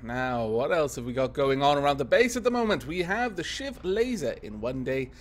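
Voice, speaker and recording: A man's voice: mid-range voice, very animated, moderate pace. A man casually commentating on a strategy game he is playing, thinking aloud with many fillers, a little congested from a cold. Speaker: gaming video host.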